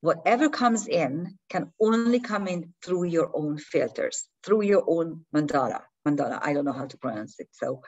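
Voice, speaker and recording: A woman with a low, deep voice talks through spiritual ideas in an unscripted talk to her viewers. Her English is a later-learned language, and she often pauses, restarts and hunts for the right word. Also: animated, darkish tone, slightly rough, lo-fi sound. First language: not English. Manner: hesitant, restarting and searching for words. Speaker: spiritual teacher.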